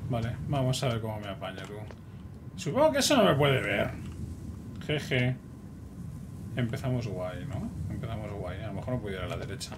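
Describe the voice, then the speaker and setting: A man with a Spain Spanish accent giving casual, excitable commentary while he plays a game. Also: on the low side, moderate pace, pauses often. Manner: chatty, excitable, talks to himself. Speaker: gameplay streamer. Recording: noisy recording.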